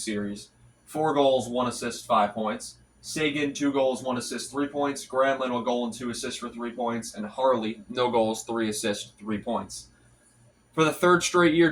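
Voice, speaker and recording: A man's voice: medium pitch, a bit bright, recorded from a distance, a little hiss or hum. Congested young man recapping hockey stats. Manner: rattles off stats with frequent restarts and fillers. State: congested from allergies. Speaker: hockey commentary channel host. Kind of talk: casual solo sports recap.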